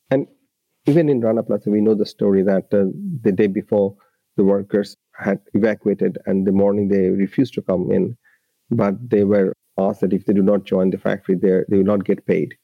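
A man's voice: fairly low pitch, no room sound, dull audio. A man from Bangladesh, speaking as a labour rights advocate and guest, giving earnest, explanatory interview answers with frequent restarts.

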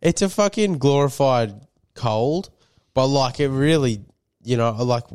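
A man's voice: mid-range voice, expressive, measured pace, a little mellow, clear, resonant tone, dry recording, studio quality. A man co-hosting a podcast, a bit nasal from a cold, rambling with lots of fillers and swearing. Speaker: podcast co-host. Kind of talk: casual chat.

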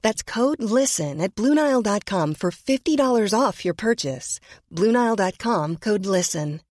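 Woman, medium pitch, expressive intonation, scripted commercial read. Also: normal speed. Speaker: advertisement voice-over.

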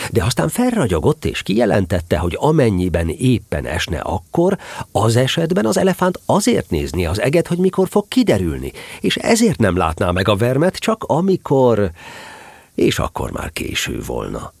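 A man narrating a storybook tale in an expressive, animated way. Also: mid-range voice, breathy edge, dry recording.

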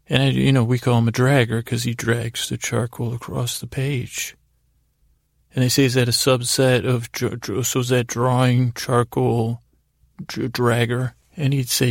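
A man with a gravelly, creaky voice hosting a podcast, rambling through an improvised bedtime monologue in a halting, stammering way that often interrupts itself. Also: medium pitch, no echo, studio quality. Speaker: podcast host.

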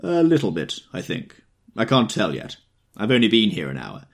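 Guy putting on a tipsy stout middle-aged dude, all worked up, voice jumping around, kinda husky. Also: fairly low pitch, normal speed, exaggerated voice. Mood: excited. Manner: excited, insistent, repetitive. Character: drunk stout middle-aged man, excitable.

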